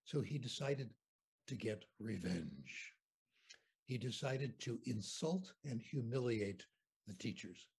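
Husky older American man telling stories. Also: medium pitch, slow pace. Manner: animated, anecdotal, conversational. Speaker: interview guest. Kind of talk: storytelling lecture on a video call. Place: home room with shelves. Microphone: video call webcam.

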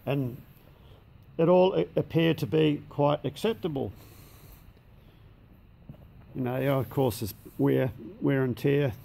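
Australian man, gravelly voice, matter-of-fact shop walkthrough, big workshop shed, handheld camera, faint background hiss. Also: on the high side, a little mellow. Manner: matter-of-fact, plain-spoken with slang. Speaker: machine shop owner. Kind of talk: casual walkthrough narration. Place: large indoor workshop shed.

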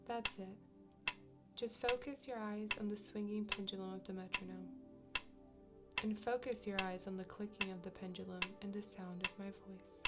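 A woman in an ASMR sleep hypnosis roleplay as a piano teacher, speaking slowly and softly with gentle command, repeating phrases and slipping into whispers. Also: mid-range voice. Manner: slow, soft, repetitive and gently commanding; drops into whispers at times. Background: ticking metronome and music.

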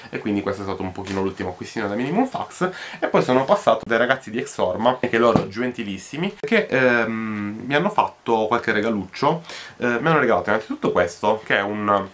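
A man's voice: lowish voice, a bit bright. A young man in his twenties casually showing and talking through his new books, hesitant with frequent fillers and restarts, filmed in a small bedroom with a camera at desk distance. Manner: chatty, many hesitations and repeated words. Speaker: book vlogger. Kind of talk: casual book haul vlog. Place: small bedroom in front of a wardrobe.

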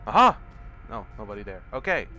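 A man's voice: fairly low pitch, very animated, fairly quick, sharp tone, faint music behind. A young man with a stuffy cold, casually commenting on his gameplay, swearing and mocking enemies sarcastically. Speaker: let's play commentator. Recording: home recording with clear background noise.

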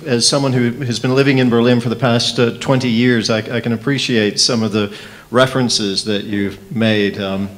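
A man chairs the questions after a keynote, speaking into a table microphone in a conference hall. His voice has a middle pitch, and he fills his sentences with hesitations and repeated words. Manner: many fillers, repeats words. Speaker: conference moderator.

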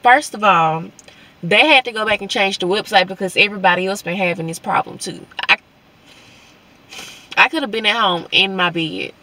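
Young adult female vlogger, Southern US accent, medium pitch, informal talk straight to viewers. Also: moderate pace, bright, forceful tone. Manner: informal, conversational, addressing viewers as y'all. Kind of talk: casual vlog chat to viewers.

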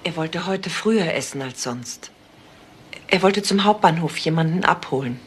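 A witness in a crime radio drama. Her voice is fairly low, giving careful, hesitant answers, with faint noise behind. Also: expressive, moderate pace. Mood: subdued. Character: subdued woman witness questioned by police. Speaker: actress in a crime radio play.

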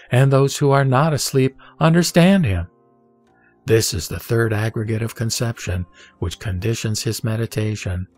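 A man reading a Buddhist scripture aloud in a measured, steady recitation. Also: lowish voice. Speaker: scripture reader. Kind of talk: solemn scripture reading over music. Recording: soft background music.

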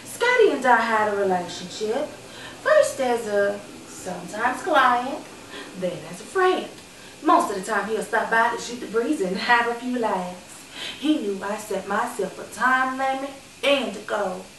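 A woman performing a stage monologue as a sassy, candid retired call girl who reminisces fondly with playful, dramatic pauses. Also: fairly high pitch, sing-song, a bit bright, full character voice. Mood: frank, wry. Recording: echoey room, distant mic, background noise.

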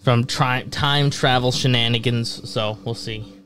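A man's voice: medium pitch, fairly even intonation, unhurried, fairly bright tone. A young American man co-hosting a casual podcast, laid-back and matter-of-fact. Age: young adult. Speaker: podcast co-host. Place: indoor podcast studio. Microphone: close boom-arm podcast microphone with headset.

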